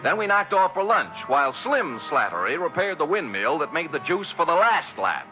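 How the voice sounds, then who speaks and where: An actor playing a brash, wisecracking private detective in a flippant, slangy 1940s radio style. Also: male voice, on the high side, sing-song, moderate pace, soft background music. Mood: wry. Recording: dull vintage radio recording.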